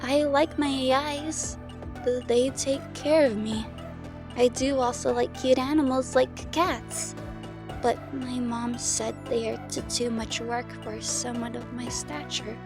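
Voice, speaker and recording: A woman plays an anxious teenage programmer who stumbles over her words. Her voice is high and her pace is slow and hesitant. Music is clearly heard underneath. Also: fairly even intonation. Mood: timid, nervous. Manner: hesitant, stammering, timid. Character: shy anxious stammering sixteen-year-old girl programmer. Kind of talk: audio drama acting.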